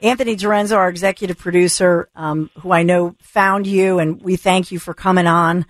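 A woman hosting a talk radio show, animated and teasing, laughing often as she talks with her guest. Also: fairly low pitch, brisk, no room sound.

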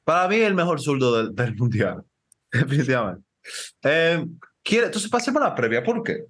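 A man co-hosting a football podcast, talking fast and excitably with lots of slang and jumping in over his co-host. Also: medium pitch. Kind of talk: casual football chat.

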